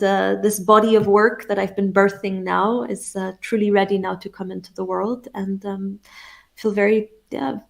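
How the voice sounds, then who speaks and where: A woman from England talking as an interview guest, reflective and soft-spoken, with frequent laughs. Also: medium pitch, creaky. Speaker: interview guest, shamanic teacher. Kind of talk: casual interview conversation.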